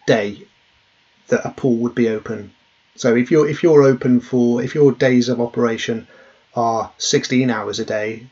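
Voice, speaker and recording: A man in his thirties walks through a worked example at his desk, his husky voice staying level and unhurried. He fills pauses with ums and uhs as he explains. The audio is dull and lo-fi, as if from a webcam in a small office. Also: mid-range voice. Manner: plain, steady explaining with frequent ums and uhs. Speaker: safety trainer.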